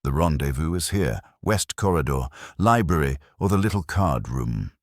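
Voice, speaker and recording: A man's voice: very deep voice, lively intonation, normal speed, dry recording, studio quality. A man reading the part of a wry, watchful detective's assistant in an audiobook, with clipped hardboiled dialogue. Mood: brisk, reporting.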